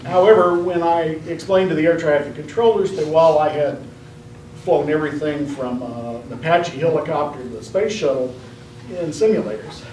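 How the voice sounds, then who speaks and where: A man telling a tall tale as a speech contestant, in a measured, deadpan comic storytelling style. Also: a little high. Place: indoor room at a speech contest. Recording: roomy, distant mic, faint noise.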